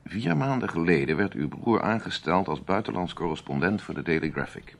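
A man playing a suave, imperious middle-aged army major in a radio drama, courteous, measured and condescending. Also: deep voice, lively intonation, creaky, exaggerated voice. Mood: calm, explanatory.

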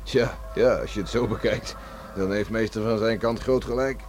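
An actor playing a gruff, stubborn village beachcomber in a Dutch radio play, grumbling and plain-spoken. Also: male voice, on the low side, steady tone, moderate pace, natural voice. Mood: guarded. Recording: noisy old radio play recording.

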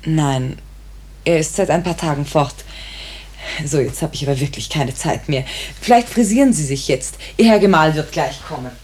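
Low, husky-voiced hospital nurse. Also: female voice, moderate pace, darkish tone. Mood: brisk. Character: busy female hospital nurse, briskly soothing. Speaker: actress. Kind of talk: 1950s radio drama acting.

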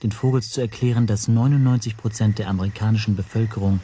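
An actor playing an observant language teacher who narrates his story in a measured, dryly descriptive way for a radio drama. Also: fairly low pitch, steady tone, mellow, a little hiss or hum, lightly acted.

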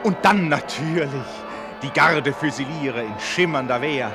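An actor playing an eloquent storyteller in a radio drama, narrating in a florid, ceremonious and wry manner. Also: male voice, on the high side, a little slow. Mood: festive, awed. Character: eloquent, wryly festive storyteller of a royal novel. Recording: music behind.

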